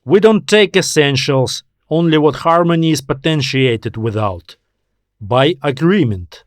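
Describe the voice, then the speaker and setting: A man's voice: on the high side, animated, a little slow, no room sound, studio quality. A man voicing a terse alien reptiloid trader who bargains in clipped, formal fragments in a science fiction story. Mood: urgent, formal.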